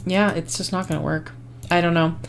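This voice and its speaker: A woman hosting a life-sim game video, her voice hoarse and fading, chatting casually with frequent ums and small laughs. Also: on the low side, fairly even intonation, a little fast. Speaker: gaming channel host. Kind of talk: casual gameplay commentary.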